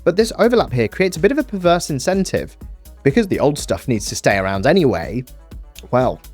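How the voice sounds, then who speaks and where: A man's voice: medium pitch, big pitch swings, a little fast, darkish tone, soft background music. A man presenting a scripted explainer with casual, conversational asides.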